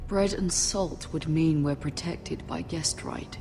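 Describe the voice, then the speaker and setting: A woman's voice: low voice, takes it slowly, exaggerated voice. An actress playing an anxious but defiant noble mother in a fantasy video game, earnest and determined. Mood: wary, worried. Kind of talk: dramatic video game acting. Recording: clear music under the voice. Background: background music.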